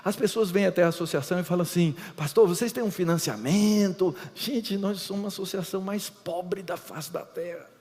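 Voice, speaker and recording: A middle-aged Brazilian man with a Brazilian Portuguese accent, preaching an emphatic lecture-style sermon that swings between loud and hushed. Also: sits high, fairly quick, few pauses. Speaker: pastor. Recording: reverberant hall.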